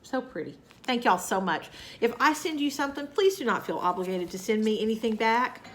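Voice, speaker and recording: A woman's voice: a little high, animated, brisk, fairly bright tone. A woman with a Southern US accent chatting casually about her cross-stitch projects, her voice nasal and scratchy from a cold, rambling with fillers and asides. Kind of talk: casual chat to camera.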